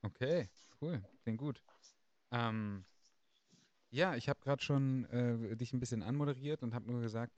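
A man hosting a casual podcast, relaxed and chatty with frequent fillers. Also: fairly low pitch, no echo. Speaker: podcast host.

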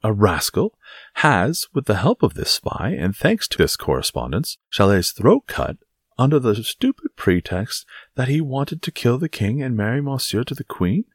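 A man acts out a blustering musketeer from a classic adventure audiobook. His pitch swings widely from low rumbles to high exclamations, and he sounds boastful, theatrical and very pleased with himself. The recording is dry and close. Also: big pitch swings, moderate pace, husky, no echo. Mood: indignant. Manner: self-sufficient, exclamatory, theatrical. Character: boastful, blustering male musketeer, loud and self-satisfied. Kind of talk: dramatised audiobook reading of a classic adventure novel.

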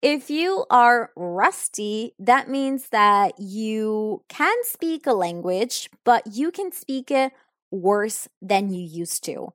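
A young woman speaks English slowly and deliberately, taking her time over each answer. She sounds thoughtful and a little hesitant, filling gaps with soft ums. Her voice is close and dry, with no room sound around it. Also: medium pitch, expressive, no room sound. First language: Italian. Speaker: English learner answering questions. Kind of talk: unscripted answers in an English lesson.

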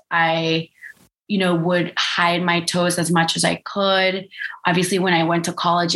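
A woman's voice: lowish voice, fairly bright tone. Woman from Costa Rica telling her story. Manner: halting, many fillers and restarts. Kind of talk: personal story, casual and reflective.

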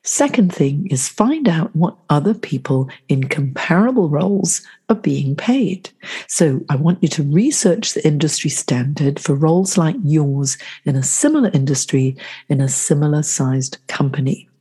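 A middle-aged woman hosts a solo advice podcast, her voice low and dark. She is congested and throaty from the flu, so the sound comes out husky. She talks in a chatty, coaching way and keeps asking the listener questions. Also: lively intonation, moderate pace. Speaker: podcast host.

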